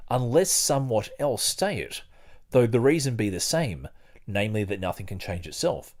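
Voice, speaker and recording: A man hosting a podcast, talking casually and haltingly as he thinks aloud or reading from a book. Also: mid-range voice, a little mellow. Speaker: podcast host.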